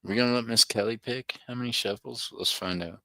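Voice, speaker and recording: A man's voice: medium pitch, fairly quick, vocal fry, sharp tone, no echo. A middle-aged man hosting a live auction stream, chatting and joking with viewers by name. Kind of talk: casual livestream chat.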